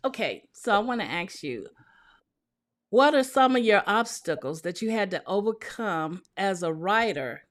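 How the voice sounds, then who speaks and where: Female podcast host with a medium-pitched voice, friendly and straightforward, guiding an author interview. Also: animated, measured pace, fairly bright tone. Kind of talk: casual interview hosting.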